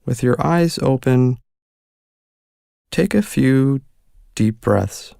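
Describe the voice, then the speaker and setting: A man leading a guided meditation, giving calm, gentle instructions with unhurried pauses. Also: mid-range voice, vocal fry, no echo, clean studio sound.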